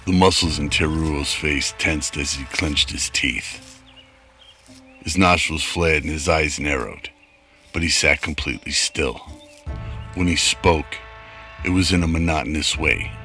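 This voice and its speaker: A man's voice: extremely low pitch, a little slow, sharp tone, soft background music. A man narrating a scripted audio drama as its storyteller.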